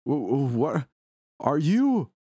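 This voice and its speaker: Man voicing a frantic, worried wife, pitched up high. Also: big pitch swings, slow, mellow, slightly rough, heavily acted. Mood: shocked, panicked. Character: frantic, worried wife pleading with her ailing husband.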